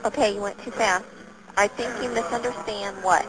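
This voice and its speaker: A woman's voice: mid-range voice, steady tone, normal speed. A woman in a casual telephone conversation, giving brief replies and asking questions. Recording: phone call with faint background noise.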